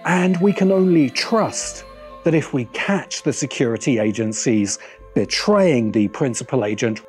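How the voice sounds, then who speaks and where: A middle-aged man delivering scripted video essay commentary in a deliberate, wry manner. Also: on the high side, darkish tone, breathy edge. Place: open-sided outdoor pavilion by a garden. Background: background music.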